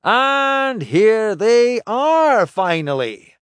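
A man's voice: a little high, expressive, a little slow, no room sound. A man voicing a blustering, wry, roguish male spaceship captain in an audiobook, exclaiming theatrically in colloquial speech. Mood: boisterous. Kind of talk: audiobook character acting.

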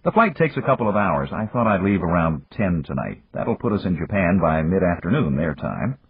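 Male narrator as suave businessman, husky, breezy, gallant, teasing, lively pitch, audiobook character. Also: a little fast, full character voice. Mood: casual, pleased. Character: suave, casual, smitten businessman, gently teasing. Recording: echoey room, muffled narrow-band sound.

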